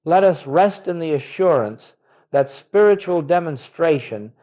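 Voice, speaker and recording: A man's voice: a little high, expressive. Solemn man guiding a meditation, slightly muffled. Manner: solemn, measured, reverent delivery with deliberate phrasing. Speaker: spiritual teacher leading a guided meditation. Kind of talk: guided contemplation, scripted spiritual talk.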